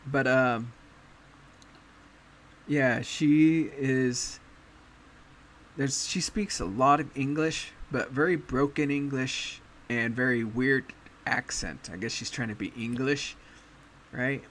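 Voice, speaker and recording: A man hosting a casual anime chat, talking in a relaxed way with many fillers and pauses. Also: medium pitch, a little hiss or hum. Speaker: podcast host.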